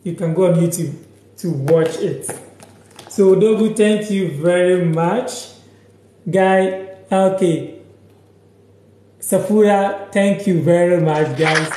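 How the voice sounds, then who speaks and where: A young man from Ghana teaching a live language lesson on his phone, slowly repeating words and sentences and reading out viewer comments. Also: high voice, mellow, roomy sound, slight background noise. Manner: slow, repeats each word and sentence several times. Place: small room indoors, sitting on a sofa.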